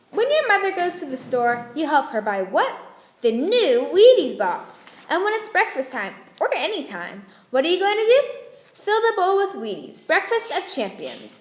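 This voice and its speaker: A woman playing a perky, coaxing pitchwoman selling to children in an old-time radio commercial, with singsong questions. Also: big pitch swings, moderate pace. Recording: echoey room, faint noise.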